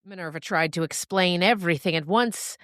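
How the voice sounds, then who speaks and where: A woman narrating scripted fiction as a storyteller, with expressive, deliberate phrasing and dramatic pauses. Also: on the low side, dry recording, clean studio sound.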